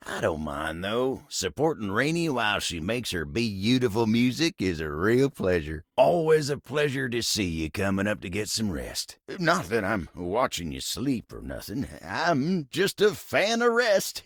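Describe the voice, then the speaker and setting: A man's voice: mid-range voice, dry recording, studio quality. A man voicing a friendly, humble, hard-working talking table in a video game, with a folksy, neighborly American country drawl. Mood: folksy, content.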